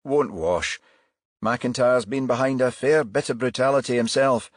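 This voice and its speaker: Casting: sardonic male junior detective, blunt, higher than the narration performed by a male reader, crime audiobook. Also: measured pace, no room sound, studio quality, lightly acted. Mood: scornful.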